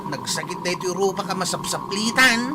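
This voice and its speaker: High-pitched village driver. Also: male voice, moderate pace, noisy background. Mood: bantering. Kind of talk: radio drama acting.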